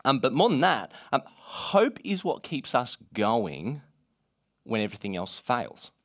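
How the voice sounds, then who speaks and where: A man with a gravelly voice from being a bit sick, leading a casual talk to a group with many "uh" fillers. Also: mid-range voice, unhurried. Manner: many "uh" fillers, lively rising emphasis.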